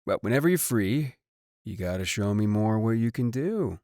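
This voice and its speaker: Man playing a low-voiced flirty band idol. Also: lively intonation, moderate pace, darkish tone, dry recording, studio quality, lightly acted. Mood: flirty, teasing. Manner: playful, suggestive, drawling asides. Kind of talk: scripted romantic audio roleplay.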